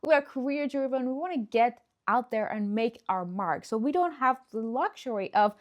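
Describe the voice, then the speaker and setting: Persian-accented lady, kinda high voice, native farsi, giving an encouraging how-to talk, leans on key words. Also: lively intonation, normal speed. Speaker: presentation skills coach, video host. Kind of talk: instructional talk to camera.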